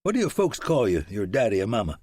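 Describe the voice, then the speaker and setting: A man doing a gentle frontier preacher. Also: lowish voice, huge pitch swings, fast, husky, no echo, full character voice.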